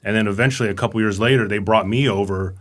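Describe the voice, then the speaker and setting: A middle-aged man speaks quickly in a low-ish voice. His talk is loose and rambling, and he tags his sentences with "right?" and "you know". It is an easy, casual interview chat. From born in Romania, raised in Los Angeles. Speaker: guest, command sergeant major.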